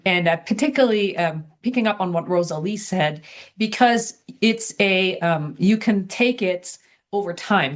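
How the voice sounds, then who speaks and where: An older woman speaks in a fairly low voice. She introduces herself on an online call in a measured, articulate manner, as a coach would to colleagues. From Germany. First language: German. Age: older, semi-retired. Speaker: coach, former healthcare executive. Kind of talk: self-introduction in an online meeting. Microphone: video call.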